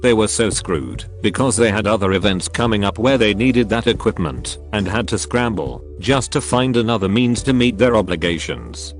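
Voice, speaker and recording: A man narrating a compilation of reader stories in a dry, flat-toned reading. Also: fairly low pitch, moderate pace, hardly any pauses, soft background music. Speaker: narrator reading online posts.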